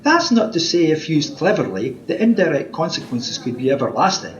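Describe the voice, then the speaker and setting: An actor playing a fussy, officious wish-granter with a pedantic, chatty manner in a radio play. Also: male voice, a little high, big pitch swings, a little fast. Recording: muffled home recording, background noise.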